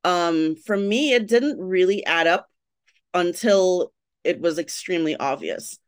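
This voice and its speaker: A woman's voice: fairly low pitch, expressive, unhurried, clear, resonant tone, no room sound, studio quality. A woman from Trinidad, a writer and blogger, chatting as a podcast guest and telling her story candidly with frequent laughs. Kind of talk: casual interview chat.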